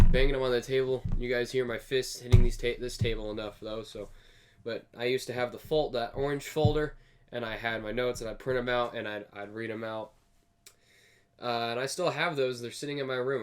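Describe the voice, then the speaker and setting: A young man hosting his own show, casually talking with a raspy, slightly hoarse voice from sinus allergies, rambling earnestly and correcting himself. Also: medium pitch. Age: young adult. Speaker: host. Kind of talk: casual solo podcast talk. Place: home recording room. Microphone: desk USB microphone.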